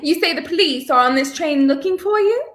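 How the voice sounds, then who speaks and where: Young female actor, prim haughty lady, very high animated voice, 1930s radio drama. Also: a little fast. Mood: wary. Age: 20s. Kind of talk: 1930s radio play acting.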